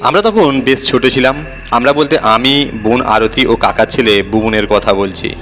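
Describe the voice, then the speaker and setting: A man's voice: medium pitch, normal speed, a bit bright, faint music behind. A man reading a scripted audio horror story as its narrator, telling it in the first person.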